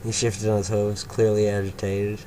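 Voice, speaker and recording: A man's voice: fairly low pitch, completely flat delivery, normal speed. A man reading a first-person story aloud in a casual way, breaking off to comment, on a hissy home mic. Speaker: fanfiction reader.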